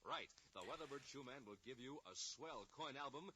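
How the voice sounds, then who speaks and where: A man's voice: mid-range voice, moderate pace, a little hiss or hum. A man performing as a dramatic, urgent announcer of a space adventure show, in 1950s radio style on an old recording. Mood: urgent pitch. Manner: excited, dramatic, urgent salesman delivery. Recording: old-time radio recording played in background.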